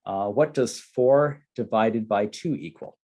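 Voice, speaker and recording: A man from Canada teaching beginners in slow, simple English, repeating his phrases. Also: fairly low pitch, darkish tone.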